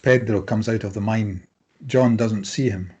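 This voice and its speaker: A man narrating screenplay stage directions in measured, clipped phrases. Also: lowish voice, fairly even intonation, darkish tone, husky.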